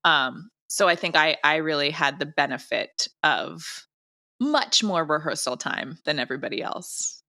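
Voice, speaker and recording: A woman's voice: low-pitched, lively intonation, a little slow, sharp tone, no echo. A woman chatting casually as an interview guest, playful and a little self-critical about her work. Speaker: audio drama director and voice actor, interview guest.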